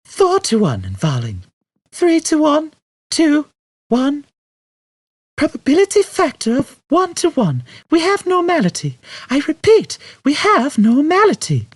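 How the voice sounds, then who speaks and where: A man voicing a patient, firm woman aboard a spaceship in an audiobook, bright and compassionate in manner. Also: extremely high pitch, wildly swooping pitch, unhurried, no room sound, voice pushed up, heavily acted. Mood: calm, announcing.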